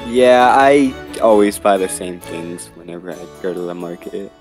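Man as polite shy young man, fairly low pitch, hesitant, apologetic, game roleplay, soft background music. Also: normal speed, lightly acted. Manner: hesitant, courteous. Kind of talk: improvised Minecraft roleplay acting.

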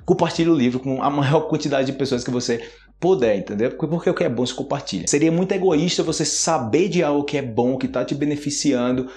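Male host, 30s, casual to-camera vocabulary lesson, animated and chatty. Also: medium pitch, fast. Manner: lively, gestures a lot, frequent "né?" and "ok?" check-ins. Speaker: Portuguese teacher, channel host. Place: small indoor room, plain wall. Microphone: close camera framing, upper body.

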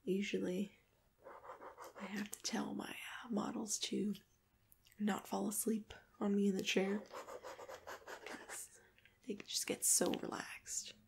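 Slow whispering young woman, ASMR. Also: medium pitch, flat delivery. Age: young adult. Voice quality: soft breathy whisper. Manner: gentle, unhurried, murmured to one listener. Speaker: ASMR roleplay host playing a sketch artist. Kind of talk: ASMR personal-attention roleplay. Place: bedroom, indoors. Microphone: close mic, facing camera.

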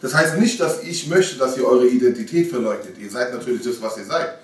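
A man's voice: mid-range voice, animated, a little fast. A man in his thirties, a youth worker, talking casually and earnestly to teenagers, with many fillers and restarts. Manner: hesitant, filler-heavy, earnest. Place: youth club room with bookshelves. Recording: roomy, from a distance.

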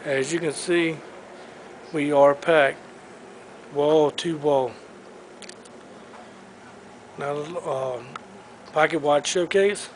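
Male auctioneer for a sale preview, slightly high voice, unhurried short item call-outs, handheld camera sound. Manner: clipped, listing items one by one. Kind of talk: walk-through item commentary in short phrases. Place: large indoor auction showroom full of furniture. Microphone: handheld camera microphone. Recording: noisy handheld camera in a showroom.

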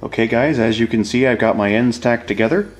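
A man's voice: medium pitch, brisk. A man explaining a hands-on demonstration in a casual, informal way, as an instructor talking to viewers.

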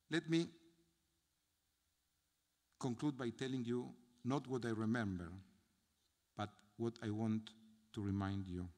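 An older man with an Argentine Spanish accent, a rabbi giving a solemn memorial sermon from notes, with measured phrasing and heavy pauses. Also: medium pitch. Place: large synagogue sanctuary. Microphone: gooseneck podium mic. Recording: long hall reverb from the sanctuary.

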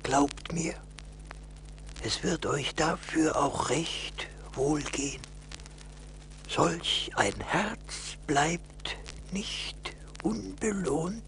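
An actor playing a frail, weary old beggar man in a 1980s radio play, with a creaky, breathy voice. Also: fairly high pitch, very slow, a bit bright, in a whisper, heavily acted. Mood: grateful, gentle. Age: older. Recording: noisy old vinyl record.

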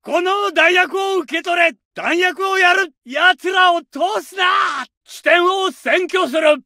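A hot-tempered young soldier in a war game who snarls and shouts at his enemies. His voice is very high and sharply bright, and it sounds bone dry with no room around it. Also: slow pace, dry recording, heavily acted. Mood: commanding. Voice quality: shouted, taunting. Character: aggressive young male Japanese soldier, snarling. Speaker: soldier voice lines. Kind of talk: barked game voice lines.

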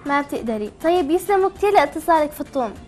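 High-pitched, very fast woman host. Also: lively intonation, a little mellow, soft background music. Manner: encouraging, asks simple questions. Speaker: TV show host. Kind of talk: chatty live call-in hosting.

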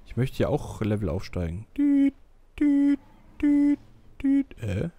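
A man casually commentating a co-op survival game with a friend, joking and bursting out loudly during fights. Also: extremely high pitch, slow, no echo. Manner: jokey, excitable outbursts while fighting. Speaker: gameplay commentator. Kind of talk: casual co-op gameplay chat.

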